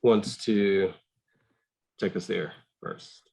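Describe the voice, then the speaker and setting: A man moderating a virtual panel, briskly keeping the discussion on time. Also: low voice, steady tone, vocal fry, a little mellow, breathy edge.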